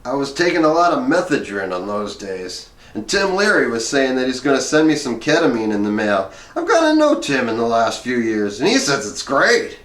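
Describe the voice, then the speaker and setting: A man's voice: medium pitch, big pitch swings, normal speed, hardly any pauses, a bit bright. A man voicing a rambling, drug-worn older improv guru in a run-on, scattershot monologue. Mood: rambling, matter-of-fact. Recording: roomy, echoing room sound.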